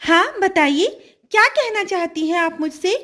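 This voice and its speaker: A woman voicing a kind, honest magical female golden fish in a children's story, speaking gently, earnestly and politely. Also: extremely high pitch, animated, unhurried, pitched above the performer's own voice, exaggerated voice. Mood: obliging.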